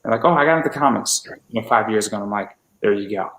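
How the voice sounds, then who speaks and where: A roomy, echoey recording of a man in his late twenties with a fairly low voice. He talks casually like a podcaster in conversation, often repeating his first words in a stammering run before getting into the sentence. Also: very fast, a bit bright. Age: 20s.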